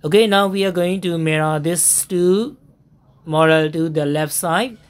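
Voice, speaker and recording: Lowish-voiced woman, walking through software steps slowly with lots of uhs. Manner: step-by-step instructions with frequent fillers and pauses. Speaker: software tutorial narrator. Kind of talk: screen-recorded software tutorial voice-over.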